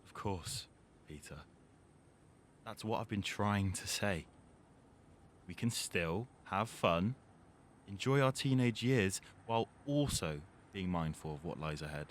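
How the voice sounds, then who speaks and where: A man acts out a serious teenage boy in an audio drama, with a very low voice. He is earnest and measured, and there is some background noise behind him. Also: expressive. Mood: earnest, reassuring. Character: Jack, serious, responsible teenage boy growing distant from his friend.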